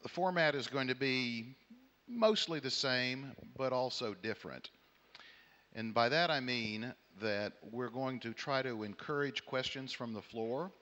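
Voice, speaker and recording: A middle-aged man from Texas with a Southern American accent moderates a conference panel, addressing the audience in a measured, courtly, wry way. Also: medium pitch, a bit bright. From Houston, Texas, United States. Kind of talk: conference opening remarks to an audience. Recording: echoey hall with long reverb.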